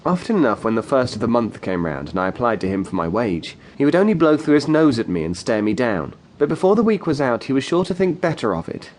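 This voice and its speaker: An actor narrating as a grown man recalling his boyhood, in a measured, literate storytelling style for an audio drama. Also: medium pitch, big pitch swings, darkish tone. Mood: wry. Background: music.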